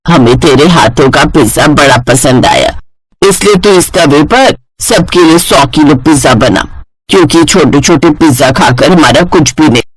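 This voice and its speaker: Woman acting cartoon mother-in-law, middle-aged, bossy, commanding, lowered voice, very dry sound. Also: lively intonation, moderate pace, husky, no echo, faint music behind, low-quality recording. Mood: bossy, pleased. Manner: commanding, matter-of-fact.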